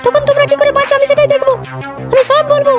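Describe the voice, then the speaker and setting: An indignant young cartoon bride, complaining over and over in a tiny, extremely high voice. She rattles on at great speed with hardly any change of pitch. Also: completely flat delivery, rapid-fire, music in the background. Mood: indignant, threatening. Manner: complaining, repetitive. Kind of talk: Bengali comedy cartoon dialogue.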